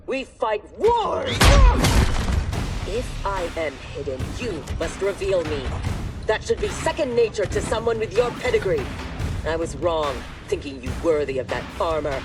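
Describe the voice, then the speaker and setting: A woman's voice: lowish voice, expressive, measured pace, loud music behind the voice. An actress playing a stern, demanding female ninja commander and mentor in a dramatic video game cutscene. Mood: scolding, combative. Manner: commanding, scolding.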